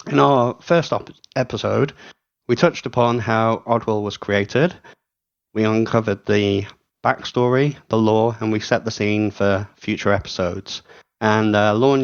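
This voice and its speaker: A man hosting a casual interview, asking long questions with plenty of fillers. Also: fairly low pitch. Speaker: host. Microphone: remote voice chat. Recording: dull lo-fi audio.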